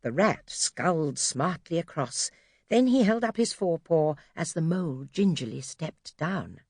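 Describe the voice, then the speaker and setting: A woman's voice: low-pitched, expressive, unhurried, a little mellow, husky, no room sound. A woman narrating a children's audiobook expressively from a script, close to the microphone. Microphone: close studio microphone.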